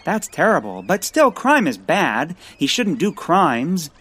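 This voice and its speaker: An actor playing a fussy, polite, earnest bird lover, flustered and hesitant, in a comic audio drama. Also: male voice, on the high side, very animated, slow pace, a bit bright, husky, exaggerated voice. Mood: earnest puzzlement.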